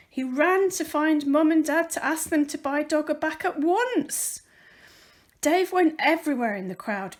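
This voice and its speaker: Female children's story reader: high-pitched voice, unhurried pace, expressive and deliberate delivery. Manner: deliberate, careful storytelling with dramatic emphasis. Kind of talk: expressive read-aloud of a children's picture book.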